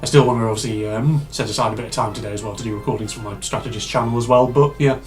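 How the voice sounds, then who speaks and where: Chatty man, slightly hoarse. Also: medium pitch, fairly even intonation, racing, a little mellow, faint music behind. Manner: rambling, chatty, many fillers and asides. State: slightly hoarse and congested with a cold. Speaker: reaction video host. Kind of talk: casual reaction commentary.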